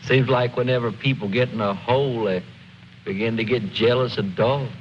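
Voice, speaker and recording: An actor playing a weary, gloomy soldier in an old war film, talking in a glum, ruminative way. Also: male voice, medium pitch, fairly even intonation, moderate pace, natural voice. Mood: glum, musing. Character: weary, gloomy soldier musing on his bad luck. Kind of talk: 1950s war film acting. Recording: dull, faintly noisy old film soundtrack.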